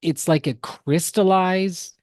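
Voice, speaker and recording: A man's voice: high-pitched, slow, no room sound. Analytical man giving dry-room podcast commentary. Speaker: podcast co-host reacting to game cutscenes. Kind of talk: casual critical commentary.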